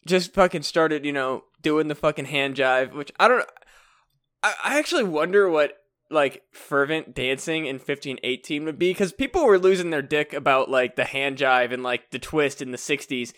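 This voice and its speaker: A young man with a slightly raised pitch rambles through a casual comedy podcast. He swears freely and keeps breaking into laughter as he goes off on tangents. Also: lively intonation, normal speed, a bit bright, clear, resonant tone. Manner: rambling, profane, laughs often. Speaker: podcast co-host.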